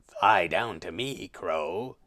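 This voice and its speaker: Man as a slow, low, sweet-talking tiger. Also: lively intonation, sharp tone, no room sound, clean studio sound, full character voice. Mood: sweetly coaxing. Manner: slow, sugary coaxing. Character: sweetly coaxing, sly male tiger.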